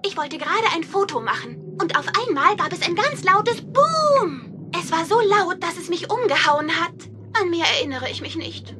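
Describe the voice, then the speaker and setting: Very high-pitched young cartoon crewmate. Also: female voice, big pitch swings, moderate pace. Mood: earnest. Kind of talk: children's cartoon radio play acting. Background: music.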